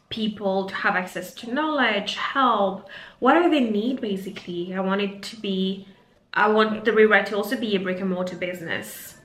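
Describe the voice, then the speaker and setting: Woman, Mauritian accent, Creole native speaker; reflective unscripted vlog, bright voice, roomy sound. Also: mid-range voice. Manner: reflective, earnest, frequent fillers and restarts. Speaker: career coach and vlogger. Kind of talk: unscripted talking-to-camera vlog. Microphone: camera mic.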